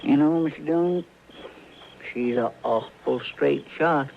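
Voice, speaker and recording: A man playing a folksy, excitable, deferential marshal's helper with a rural Western American accent in a radio drama. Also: fairly high pitch, measured pace, gravelly, a little hiss or hum. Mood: eager. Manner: homespun, eager. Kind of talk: old-time western radio drama acting. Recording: muffled old radio with music, crowd.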